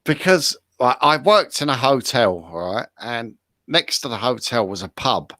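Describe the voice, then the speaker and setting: A man's voice: medium pitch, animated, a little slow, sharp tone, dry recording. A man taking part in a show as a contestant, chatting casually and telling anecdotes.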